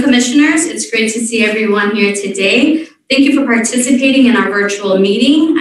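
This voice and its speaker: A woman's voice: fairly high pitch, far from the mic, slight background noise. Polite woman calling roll in echoey room. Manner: polite and precise. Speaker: meeting secretary calling the roll. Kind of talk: formal meeting procedure.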